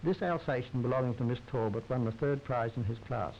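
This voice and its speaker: A man's voice: mid-range voice, brisk, creaky. A man narrating a 1930s society newsreel in a formal, wry and droll scripted manner. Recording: hissy, muffled 1930s film soundtrack.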